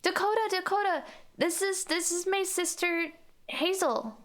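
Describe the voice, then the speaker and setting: A sweet little sister as a woman acts her out at the gaming table, high-voiced and eager. She is a young girl, excitable and earnest in manner. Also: unhurried. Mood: eager, shy.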